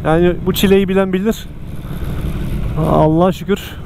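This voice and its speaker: A man's voice: high-pitched, fairly even intonation, moderate pace. Motovlogger man with traffic noise behind. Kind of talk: casual narration while riding.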